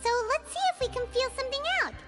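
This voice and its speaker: An excitable tiny fairy companion speaks in a very high, piping voice that leaps up and down. She keeps referring to herself in the third person. Bright game music plays loudly underneath. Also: fast talker. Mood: coaxing. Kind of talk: video game voice acting.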